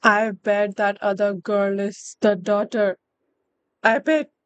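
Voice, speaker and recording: A woman's voice: mid-range voice, flat delivery, slow, lo-fi sound. A woman streamer giving short, casual live reactions to a game.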